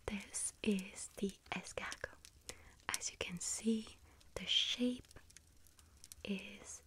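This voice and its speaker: A woman voicing a friendly, chatty young witch who works in a bakery, in a gentle breathy ASMR whisper with hesitant pauses. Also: medium pitch, fairly even intonation. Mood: friendly, explaining. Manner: gentle, unhurried, hesitant pauses with soft ums. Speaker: ASMR roleplay performer. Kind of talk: whispered ASMR shop roleplay.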